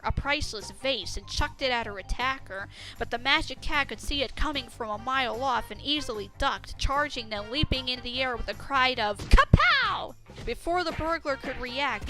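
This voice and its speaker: A woman reading a scripted story as narrator, with an expressive, dramatic storytelling delivery. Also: sits high, low-quality recording.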